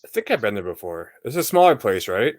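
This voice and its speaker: A man's voice: lowish voice, very animated, quick pace. A man in his forties hosting a podcast, asking questions in a casual, chatty interview style. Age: 40s. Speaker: podcast host.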